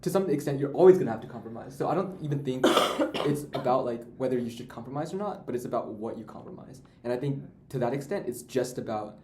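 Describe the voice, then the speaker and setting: A young man in a student discussion, halting and full of fillers as he works through his thoughts. The room is echoey, with a faint hiss under the voice. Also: medium pitch, expressive. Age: young adult. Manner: halting, many fillers and restarts. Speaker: student podcast co-host. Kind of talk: casual discussion.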